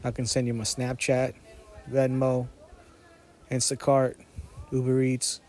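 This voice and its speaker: Deadpan man with a flat delivery. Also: mid-range voice, a little slow. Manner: deadpan, jokey. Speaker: food vlogger. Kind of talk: narrated vlog voice-over, casual storytelling.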